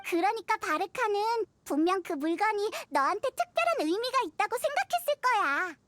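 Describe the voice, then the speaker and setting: Tiny fairy girl, squeaky-high childlike voice, lively swings, inquisitive, anime game companion. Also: moderate pace, no room sound, exaggerated voice. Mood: earnest, encouraging.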